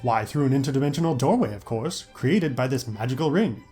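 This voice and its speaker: A man's voice: mid-range voice, animated, brisk, mellow, lightly acted. A man voicing an earnest, formal vampire-hunting hero with a foreign accent in an audio story with music behind. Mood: urgent. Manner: solemn, stilted, archaic courtesy. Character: earnest tall heroic vampire hunter, formal and desperate.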